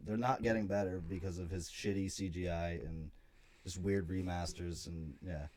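A man's voice: low voice, steady tone, normal speed, a little mellow, a little hiss or hum. A man co-hosting a podcast in casual chat, joking around, laughing often and trailing off with fillers. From America. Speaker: podcast co-host.

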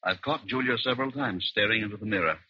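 An actor playing a husband in an old radio suspense drama, hesitant and evasive, with feigned concern for the young woman he quietly undermines. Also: on the low side, fast, breathy edge, no echo. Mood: grave, insinuating. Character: soft-spoken, insinuating husband quietly undermining his young ward. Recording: muffled old radio recording.

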